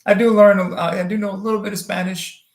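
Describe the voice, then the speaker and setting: Slightly high-pitched man with French roots, echoey room. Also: level tone. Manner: loose and halting, with many ums and restarts. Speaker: plastic surgeon hosting a livestream Q&A. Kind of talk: casual livestream Q&A. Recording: roomy echo.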